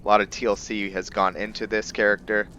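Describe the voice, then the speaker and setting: A man giving casual game commentary with roleplay lore, thinking aloud in a halting way. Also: on the low side, little pitch movement, sharp tone, highs cut off, slight background noise. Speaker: gamer hosting a roleplay let's play.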